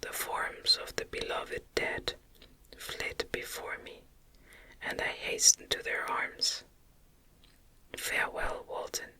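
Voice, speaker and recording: A woman reading a novel aloud as ASMR narration in a gentle, soothing, breathy whisper. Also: slow pace, long pauses, poor audio.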